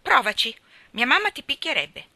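A woman's voice: on the high side, big pitch swings, brisk, sharp tone, no room sound, voice pushed up, exaggerated voice. A woman voicing a spoilt, stubborn seven-year-old girl with a disdainful, insistent way of talking, in a storybook reading. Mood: haughty, insistent.

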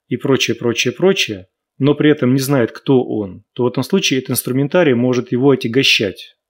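A man hosts a live radio talk show. His voice sits at an ordinary middle pitch. He explains his ideas in a teaching manner, building his points up with examples. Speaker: radio host.

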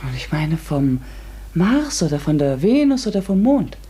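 An inquisitive, ambitious woman in a literary radio drama. Her voice is breathy and husky, and she speaks earnestly, reflectively and with polite hesitancy. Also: low-pitched, big pitch swings, moderate pace, dark tone, exaggerated voice. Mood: curious, polite. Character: eager, curious, ambitious woman narrating her strange discovery. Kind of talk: literary radio play narration and dialogue.

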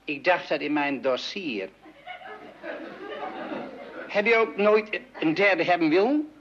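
A long-winded know-all, noisy recording. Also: male voice, a little high, lively intonation, slow pace, fairly bright tone, highs cut off, a faint crowd, heavily acted. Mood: genial, persuasive. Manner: pedantic, rambling, advising. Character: long-winded, meddling know-all adviser, a genial official. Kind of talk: radio play acting.

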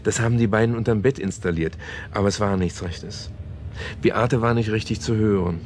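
An actor playing a man in a German radio play who haltingly retells a crime novel, often correcting himself. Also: fairly low pitch, darkish tone, slight background noise, natural voice. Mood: absorbed storytelling. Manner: halting, self-correcting storyteller.

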